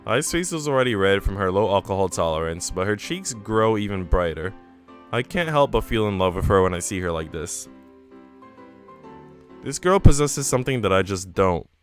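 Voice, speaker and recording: An earnest, self-doubting young woman tells her own visual novel story in a man's fairly low voice. The reading is reflective and storybook-like, with faint music underneath. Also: lively intonation, normal speed, natural voice. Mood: tender. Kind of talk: visual novel narration read aloud. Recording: music behind the voice.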